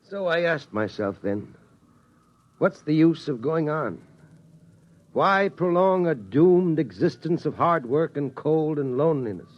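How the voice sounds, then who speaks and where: A man's voice: fairly high pitch, animated. A solemn, weary father. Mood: brooding. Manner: slow, solemn storytelling cadence. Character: weary, steady father of a surviving family. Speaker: father telling the family story. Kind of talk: 1950s radio drama acting. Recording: dull 1950s radio broadcast sound.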